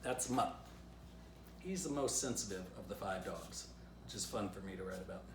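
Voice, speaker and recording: A man's voice: low-pitched, moderate pace. Male children's author, storybook read-aloud and easygoing drawing tutorial; distant mic in an echoey room. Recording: roomy, distant mic, faint background noise.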